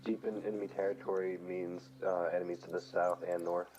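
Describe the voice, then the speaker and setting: A man's voice: low-pitched, flat delivery, fairly quick, darkish tone, muffled recording, slight background noise. A man talking casually as a squad's ground commander over online game voice chat.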